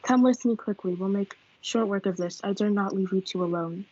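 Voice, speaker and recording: A woman's voice: mid-range voice, brisk, darkish tone, lightly acted. A young woman reading a cautious, fatherly friar in amateur reader's theatre. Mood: cautioning. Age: teenager. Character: cautious fatherly male friar giving counsel. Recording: dull lo-fi audio.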